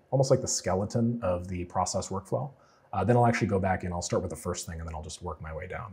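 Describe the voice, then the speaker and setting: A man getting over a cold, casually walking viewers through a software build in a tutorial, explaining as he goes with plenty of fillers. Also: deep voice, husky. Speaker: automation freelancer teaching a client build. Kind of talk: screen-share tutorial walkthrough.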